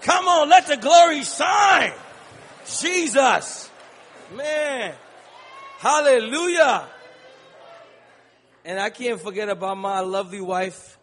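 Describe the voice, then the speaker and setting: A man from Liberia preaching a sermon in a large church, calling to the congregation and rising into shouts. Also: very high-pitched, animated, slow pace, long pauses, slight background noise. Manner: rhythmic call-and-response preaching, building to shouts. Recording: long church reverb.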